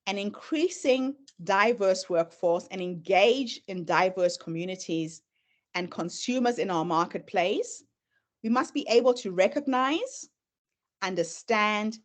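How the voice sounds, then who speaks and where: A middle-aged woman with a Zimbabwean accent blended with American sounds, a native Shona speaker. She presents in an earnest, storytelling manner, her pitch rising and falling expressively as she moves through her slides. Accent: Zimbabwean, mixed with American. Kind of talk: presentation talk.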